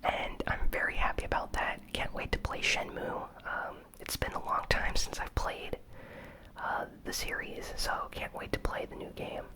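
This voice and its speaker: A host whispering a casual ASMR gaming news recap in a breathy, unvoiced voice. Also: normal speed. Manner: casual, informal.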